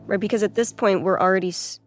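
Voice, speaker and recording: A woman's voice: medium pitch, steady tone, fast. A woman giving casual commentary on a game, talking in a conversational, analytical way.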